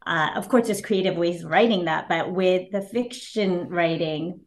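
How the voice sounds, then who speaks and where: A woman whose first language is Japanese, speaking as an author guest in an interview, thoughtful and hesitant with fillers and soft laughs. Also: lowish voice, normal speed. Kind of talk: casual interview answers.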